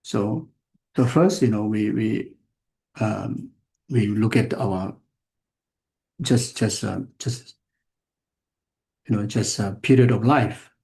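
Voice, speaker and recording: Older man teaching, gravelly and mellow. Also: on the low side, poor audio. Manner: halting, repeats words and restarts phrases often. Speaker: Buddhist teacher. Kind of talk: dharma teaching to an audience.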